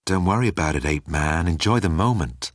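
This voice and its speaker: A cocky space rogue played by a male narrator in a comic science fiction story. The voice is low and dry, with a breezy, unhurried way of brushing worries aside. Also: animated, dry recording. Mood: breezy, dismissive. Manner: unhurried, dismissive, casual.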